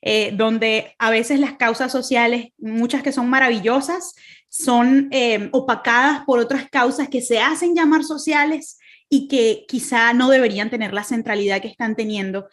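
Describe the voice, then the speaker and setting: A woman with a Caracas Venezuelan accent speaks over a video call, her voice sitting a little high. She talks earnestly, pausing often with fillers and starting her sentences again. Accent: Venezuelan Spanish, Caracas. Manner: earnest, many fillers and restarts. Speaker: guest speaker. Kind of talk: online faith talk.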